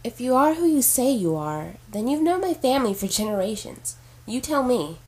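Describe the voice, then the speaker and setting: A woman's voice: on the high side, big pitch swings, normal speed, a little mellow, slightly rough, low-quality recording. A woman playing a stressed, skeptical heir in an audio drama, incredulous and defiant in manner. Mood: skeptical, sarcastic. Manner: incredulous, combative, sarcastic.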